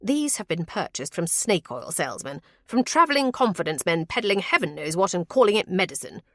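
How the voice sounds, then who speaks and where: A woman's voice: on the low side, lively intonation, moderate pace, a bit bright, dry recording, studio quality. A woman voicing an earnest, exacting male physician in an audiobook, speaking formally and precisely, with scorn for quackery. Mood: heated, scornful. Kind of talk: audiobook character voice.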